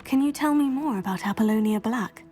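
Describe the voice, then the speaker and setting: A woman's voice: on the high side, a little fast, dark tone, soft background music, natural voice. An actor voicing an earnest, polite young woman student in scripted game dialogue. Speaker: student, player character.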